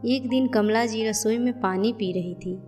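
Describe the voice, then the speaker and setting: A woman's voice: fairly high pitch, moderate pace, a little mellow. A woman reading scripted narration for a cartoon family story, with music behind her.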